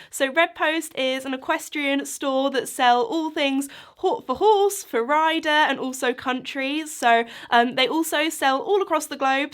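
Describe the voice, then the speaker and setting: A woman's voice: sits high, fairly bright tone. A young woman hosting her own podcast, chatting casually and rambling with lots of asides. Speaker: podcast host. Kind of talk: casual chat.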